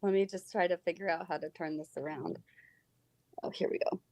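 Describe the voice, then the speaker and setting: A woman's voice: fairly low pitch, steady tone, a little fast, a little mellow, no room sound. A woman joining a morning show as a guest over a video call, cheerful and giggly as she talks. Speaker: guest caller. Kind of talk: casual chat.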